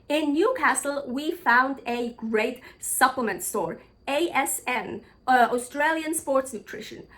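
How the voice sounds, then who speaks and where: A woman's voice: sits high, a bit bright. A woman from Switzerland, chatting casually in a travel vlog, blunt and frank, swearing freely and thinking aloud. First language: Swiss German. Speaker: travel vlogger.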